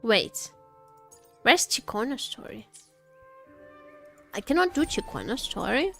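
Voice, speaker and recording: A woman's voice: fairly high pitch, big pitch swings, takes it slowly, creaky, fairly bright tone, soft background music. A woman livestream host chatting casually, rambling and searching for her words as she goes. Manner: rambling, hesitant word searching.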